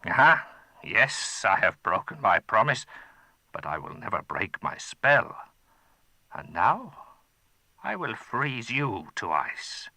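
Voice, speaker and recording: A man voicing a cruel, cunning dragon in a storybook reading, with a gravelly, mocking manner. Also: fairly low pitch, big pitch swings, takes it slowly, pauses often, sharp tone, no echo, full character voice. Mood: gloating. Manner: mocking, gloating, wailing when scared.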